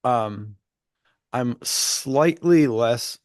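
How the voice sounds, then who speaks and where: A man's voice: mid-range voice, extremely slow, darkish tone, dry recording, clean studio sound. A young man co-hosting a podcast in casual chat, enthusiastic and full of fillers, his voice a bit worn from being sick. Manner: chatty, enthusiastic, many fillers. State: losing his voice after illness. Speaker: podcast co-host.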